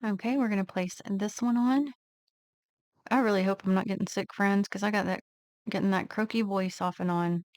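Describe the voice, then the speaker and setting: Relaxed woman thinking aloud, dry sound. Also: mid-range voice, moderate pace, no echo. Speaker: nail art vlogger. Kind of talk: casual step-by-step narration while working.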